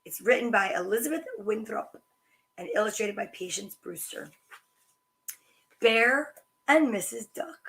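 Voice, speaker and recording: A woman hosting a children's story time, reading picture books aloud with chatty asides in a sing-song, animated way. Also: medium pitch, unhurried, pauses often, low-quality recording.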